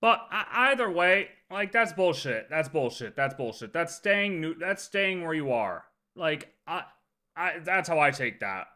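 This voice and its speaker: A man's voice: on the high side, big pitch swings, unhurried, sharp tone. A man doing casual live commentary as a streamer, arguing emphatically and repeating his points. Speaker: livestream host reacting to game lore.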